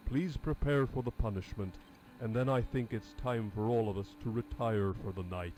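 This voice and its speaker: A man's voice: medium pitch, moderate pace, darkish tone, lightly acted, rough recording. A man voicing a stern, pious priest in a horror game, speaking solemnly as if sermonizing. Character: stern pious priest dispensing punishment to children.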